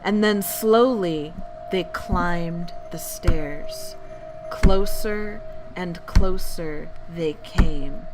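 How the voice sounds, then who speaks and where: A woman's voice: fairly low pitch, very slow. A woman narrating scary tales as a bedtime storyteller, theatrical and full of suspense. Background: background music.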